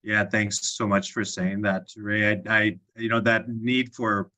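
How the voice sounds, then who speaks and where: A man's voice: on the low side, flat delivery, a little slow, pushed and bright. A man moderating an online session, affirming and reflective, often repeating words as he thinks.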